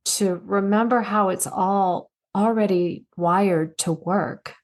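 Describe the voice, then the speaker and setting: A soft-spoken woman speaking as a guest coach in a casual podcast conversation, telling stories with little acted asides. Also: mid-range voice, slow.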